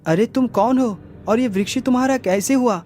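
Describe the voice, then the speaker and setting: A man's voice: very high-pitched, normal speed, darkish tone. A man voicing a curious young prince in a cartoon, with a wondering, inquisitive manner. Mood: puzzled. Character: young prince, curious, good-natured traveller. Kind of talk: Hindi moral-story cartoon acting.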